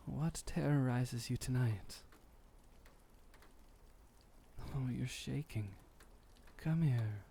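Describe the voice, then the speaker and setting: A man playing a gentle, protective vampire boyfriend in an ASMR roleplay, speaking softly and soothingly in old-fashioned formal phrases. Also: medium pitch, takes it slowly, creaky, a little hiss or hum. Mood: concerned, tender. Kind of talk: ASMR roleplay, intimate comforting monologue.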